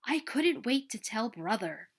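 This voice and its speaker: A woman narrates a children's story aloud. Her voice sits a little high and moves up and down with great animation, as an expressive storyteller's does. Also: a little slow. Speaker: children's story reader at a library. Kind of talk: expressive picture-book read-aloud narration.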